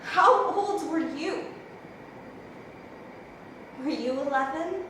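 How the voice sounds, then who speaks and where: An actress as a haunted, reflective woman, roomy echo. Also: high voice. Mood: pained questioning. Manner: measured, reflective storytelling. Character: grown woman haunted by memories of her uncle. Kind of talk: dramatic stage monologue. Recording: roomy echo, distant mic, faint noise.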